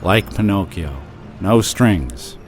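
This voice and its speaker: A man's voice: sits low, animated. An awkward, earnest former serviceman who stammers his way through each line. His voice rises and falls a lot, and faint music plays behind him. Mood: coaxing. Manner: halting, hesitant, stammers. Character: stiff awkward young ex-serviceman, earnest and dry. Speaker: character in an audio drama. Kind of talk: 1940s radio acting.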